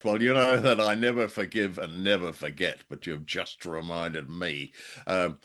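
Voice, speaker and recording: A man with a low-pitched voice, middle-aged or older, chats casually as co-host of a review show. He rambles drolly from one aside to the next, with a wry touch. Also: steady tone, a little slow, a bit bright.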